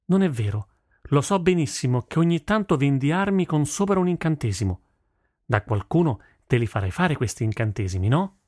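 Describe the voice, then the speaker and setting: Man voicing a stubborn fiery young girl, pitched up. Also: lively intonation, normal speed, a little mellow, dry recording, clean studio sound, lightly acted. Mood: insistent, defiant. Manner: insistent, emphatic, youthful. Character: stubborn, fiery thirteen-year-old girl, wants to be warrior. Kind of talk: audiobook character voice.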